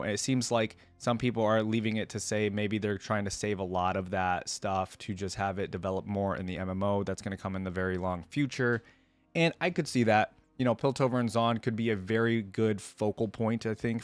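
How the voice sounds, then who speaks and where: A man's voice: on the low side, no room sound. A man hosting a reaction video, giving casual commentary to his viewers and rambling a little as he thinks aloud.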